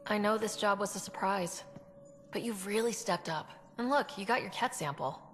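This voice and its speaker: A woman's voice: medium pitch, normal speed, lightly acted. An actress playing a young, supportive space explorer in a video game, casual and curious, with reverb and music around her. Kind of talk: video game cutscene acting. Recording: reverberant hall, music under voice.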